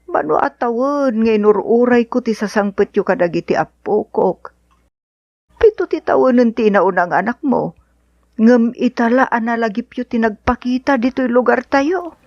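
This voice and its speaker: A woman's voice: fairly high pitch, normal speed, darkish tone, no echo, dull audio, close to an everyday voice. An actress playing a caring, fretful older mother of a grown daughter in a radio drama, with a Filipino Ilocano accent. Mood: tender, concerned.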